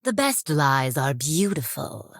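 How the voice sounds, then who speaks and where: Mysterious scheming sorceress for a card game: very deep, dry close sound, composed, theatrical. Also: female voice, very animated, slow, no room sound, exaggerated voice. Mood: sly, composed. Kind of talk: card game character voice lines.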